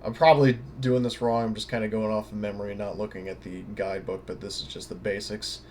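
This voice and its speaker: Male tutorial presenter, fairly low pitch, informal and halting delivery as he thinks out loud, slight background noise. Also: level tone. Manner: hesitant, halting, thinking aloud. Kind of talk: casual unscripted screen tutorial.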